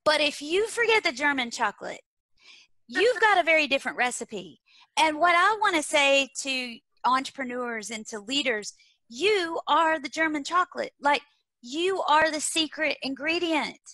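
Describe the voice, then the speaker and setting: A woman's voice: high-pitched, lively intonation, moderate pace, bright, forceful tone, no room sound, rough recording. A woman with a Texas accent, speaking earnestly as a guest on leadership and repeating her key phrases for emphasis. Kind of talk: conversational interview.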